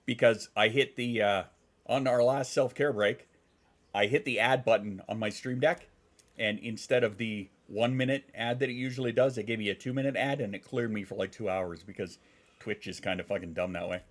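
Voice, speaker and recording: A man's voice: fairly low pitch, steady tone, brisk. Congested man chatting on a stream. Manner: swearing, rambling, reacting to chat. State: congested, sniffling. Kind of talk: casual live-stream chat. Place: home.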